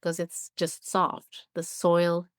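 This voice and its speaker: A woman's voice: lowish voice, monotone, takes it slowly, no room sound, studio quality. A woman speaking as an interview guest, explaining things in a thoughtful, descriptive and earnest conversational way, repeating points for emphasis. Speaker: interview guest, biologist and writer.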